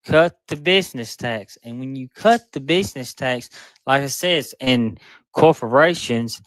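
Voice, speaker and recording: A man in his twenties with a Mississippi accent, a guest arguing politics in an earnest, halting way. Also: mid-range voice, fairly bright tone, no room sound, rough recording. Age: 20s. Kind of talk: casual debate.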